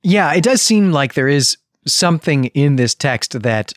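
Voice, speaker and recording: A man's voice: fairly high pitch, expressive, a little slow, no room sound, clean studio sound. A man hosting a podcast, giving unscripted literary analysis in long, explanatory sentences. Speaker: podcast host.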